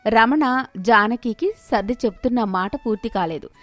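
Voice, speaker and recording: A woman's voice: a little high, moderate pace, soft background music. A woman reading a scripted moral story as its narrator.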